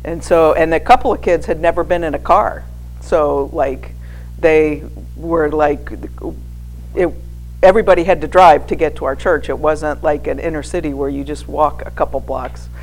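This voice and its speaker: A woman's voice: low voice, moderate pace, a little mellow, low-quality recording. An American woman in her sixties giving an informal personal testimony in a hesitant, conversational, anecdotal way. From New England, United States. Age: 60s. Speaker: guest speaker, former missionary sharing with a church congregation. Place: speaking live to a church gathering. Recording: clear background noise with hum.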